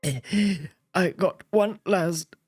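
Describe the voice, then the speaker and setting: Streamer doing a sad wounded monster guy from a story game, slow stammery and begging, dry mic. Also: medium pitch, a little mellow, slightly rough, dry recording, soft background music, voice pushed up. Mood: sorrowful, bitter. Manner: halting, stammering, drawn-out. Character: wounded, lonely male monster, pleading and bitter.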